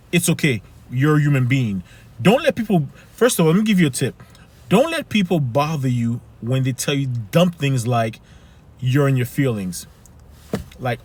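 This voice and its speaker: Earnest man with a Haitian accent. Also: mid-range voice. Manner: earnest, repeats "right?" and "keep it moving". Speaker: life coach. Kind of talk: motivational talk to camera.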